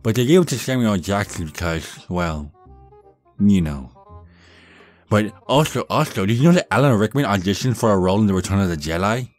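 A man's voice: on the low side, animated, brisk, faint music behind, lightly acted. A man voicing a dorky young male vampire, pedantic, whiny and condescending, in a goofy roleplay monologue. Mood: smug, pedantic. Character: nerdy, dorky young male vampire, petulant Star Wars know-it-all.

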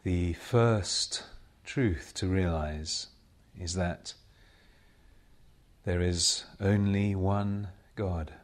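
A man leading a guided meditation as a spiritual teacher, speaking in measured, solemn short phrases with long pauses between them. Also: deep voice, steady tone. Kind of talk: slow guided meditation talk.

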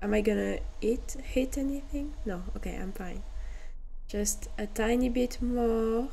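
A woman's voice: on the high side, a little slow, creaky, mellow, faint music behind. A woman with a French accent, a streamer hesitantly thinking aloud while playing a game. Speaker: VTuber streamer. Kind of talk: casual game commentary.

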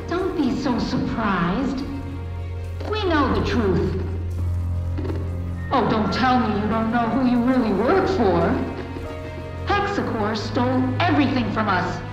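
High-voiced unhinged scientist in cavernous echo. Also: female voice, sing-song, takes it slowly, long pauses, muffled recording. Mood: smug, bitter. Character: unhinged female underground scientist, condescending, bitter, guilt-ridden. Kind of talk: dramatic video game acting. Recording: very echoey hall, music behind.

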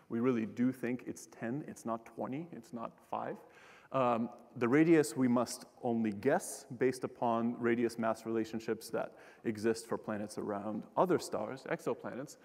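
A young man giving a casual public science talk from a lecture hall stage, joking and restarting his sentences with many fillers. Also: mid-range voice. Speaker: keynote speaker, astronomer. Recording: long hall reverb.

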